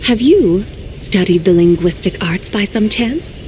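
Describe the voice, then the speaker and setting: A woman voices a serene immortal woman in a video game. She speaks eloquently and formally at a medium pitch, with measured, poetic phrasing over faint music. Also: lively intonation, darkish tone, close to an everyday voice. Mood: composed, frank.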